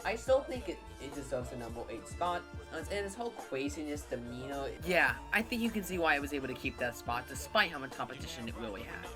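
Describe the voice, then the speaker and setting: A woman's voice: rumbling low, big pitch swings, fairly quick, hardly any pauses. A woman hosting a casual countdown video, often repeating words and restarting her sentences, with music playing behind her. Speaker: YouTube list-video host.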